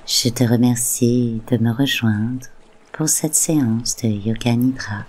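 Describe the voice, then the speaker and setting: Meditation guide: very slow, breathy and soft, medium pitch, soothing unhurried delivery. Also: low-quality recording. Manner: soft, unhurried, gently spaced phrases.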